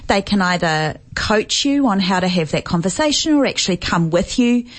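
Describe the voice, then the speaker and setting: A woman from New Zealand with a New Zealand accent, calmly explaining her research as a guest on a radio talk show. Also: mid-range voice, normal speed. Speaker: health complaints researcher, studio guest. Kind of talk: radio interview.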